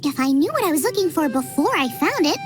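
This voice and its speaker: A woman's voice: sits high, big pitch swings, fast. A woman playing a cheerful, confident young female chef in a video game, with a perky, eager delivery. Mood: breezy, confident. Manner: perky, eager, self-assured. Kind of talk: animated video game voice acting. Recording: game music behind the voice.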